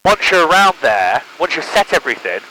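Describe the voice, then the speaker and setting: Bright-voiced male flight instructor, headset. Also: fairly high pitch, big pitch swings, fairly quick, a little hiss or hum, poor audio. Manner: patient, reassuring, checks understanding often. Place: small aircraft cockpit in flight. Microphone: aviation headset intercom.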